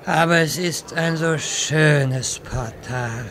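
A man's voice: on the high side, takes it slowly, fairly bright tone, slight background noise. A man playing a nostalgic, dreamy old geezer in a fantasy comedy audio drama. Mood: wistful.

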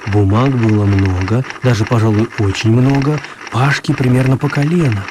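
A man's voice: mid-range voice, moderate pace, noisy background, natural voice. A man narrating a children's radio play as a scripted storyteller.

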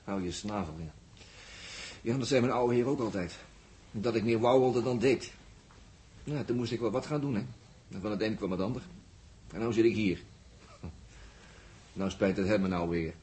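A man's voice: on the low side, moderate pace, long pauses, a little mellow, lightly acted. A man acting a cynical, talkative astronaut who jokes and mocks, in a 1960s radio drama with a Netherlands Dutch accent. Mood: joking. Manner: joking, chatty, mocking. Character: wisecracking, cynical, talkative male astronaut crewman.

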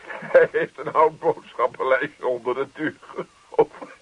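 Grumpy, indignant husband, muffled recording. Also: male voice, medium pitch, steady tone, unhurried, husky, no echo. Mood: mocking, exasperated. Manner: indignant, sarcastic grumbling. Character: grumpy, indignant husband, easily exasperated and mocking. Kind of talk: radio play acting. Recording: muffled, dull radio play recording.

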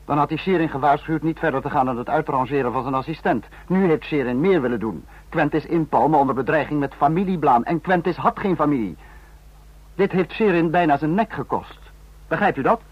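Male dictator: formal, deliberate, interrogating. Vintage radio drama with dull lo-fi sound. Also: fairly high pitch, breathy edge, no echo. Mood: indignant. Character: authoritarian male head of state, commanding, cold and calculating. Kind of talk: mid-century European radio drama acting. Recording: dull, lo-fi old radio recording.

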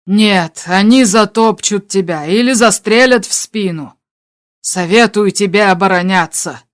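An audiobook figure of a male spaceship crewmate, acted by a woman, talking over the radio at a middling pitch. He is concerned but steady, giving earnest and urgent advice. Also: unhurried, natural voice. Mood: urgent advising. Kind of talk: audiobook character dialogue.

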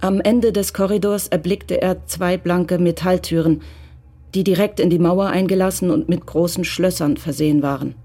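A woman narrating a German radio play from a script in a measured, matter-of-fact way. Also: on the low side, fairly even intonation, mellow, slightly rough.

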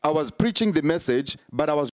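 A man's voice: a little high, animated, brisk, no room sound, clean studio sound. A man, a prophet answering interview questions in an emphatic, repetitive preaching cadence with many fillers.

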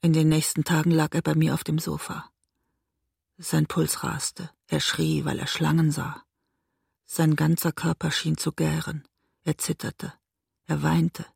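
An actress playing a weary, emotionally raw middle-aged woman in a radio drama, intimate and restrained, with a husky, breathy voice. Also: sits low, little pitch movement, a little slow, darkish tone, dry recording, clean studio sound, heavily acted. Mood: reflective. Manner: intimate, restrained, literary narrating. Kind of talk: radio drama narration and dialogue.

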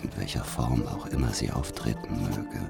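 Very deep, gravelly brooding spy. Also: male voice, full character voice. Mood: brooding, ominous. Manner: measured, brooding, ominous. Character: grave, secretive male spy writing his diary. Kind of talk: radio drama monologue. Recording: music under the voice.

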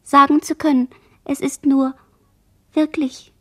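A woman playing a dazed, barely conscious girl who survived a shipwreck, speaking halting fragments in a radio play monologue. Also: high voice, flat delivery. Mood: insistent, confused. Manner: fragmented, halting word chains.

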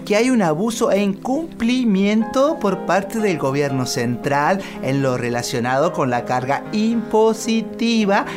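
A woman's voice: medium pitch, animated, unhurried, few pauses, music in the background, close to an everyday voice. A woman voicing a self-important, long-winded male politician who talks in technical jargon, with an Argentine accent. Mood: lofty. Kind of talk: political satire radio sketch.

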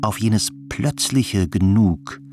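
A man's voice: on the low side, lively intonation, takes it slowly. A man narrating a radio play in a scripted, literary style. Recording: studio narration with faint music.

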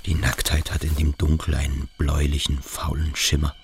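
A man's voice: extremely low pitch, completely flat delivery, moderate pace, slight background noise. A man in a radio drama, playing a sceptical, troubled boarding-school boy, reserved and questioning. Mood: detached, troubled. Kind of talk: radio play acting.

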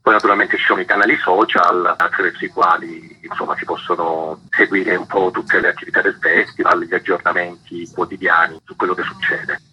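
Deep, sharp-voiced husky man. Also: flat delivery, fairly quick, hardly any pauses, highs cut off. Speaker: theatre festival organiser, guest. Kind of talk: radio interview, explanatory.

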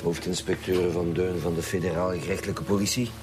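A man's voice: low-pitched, fairly even intonation, quick pace, over music, close to an everyday voice. A man playing a terse, commanding chief inspector in a radio drama, with a Flemish accent and a businesslike manner. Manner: curt, businesslike.

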